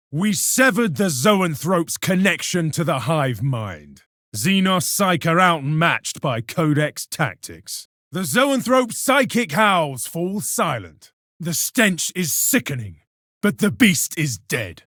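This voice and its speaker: A proud, contemptuous space warrior declaims his video game battle lines with solemn zeal. His voice sits fairly high for a male and moves dramatically up and down. The delivery is measured, militant and commanding, captured close and dry. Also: a bit bright, no echo, heavily acted.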